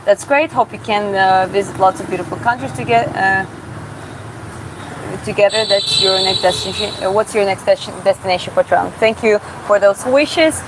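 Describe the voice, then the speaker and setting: A woman from Georgia who streams and boxes, reading viewer comments aloud in a live Q&A and answering casually, often pausing and restarting to find her words. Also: mid-range voice. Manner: casual, halting, searches for words with many restarts. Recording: steady background hum.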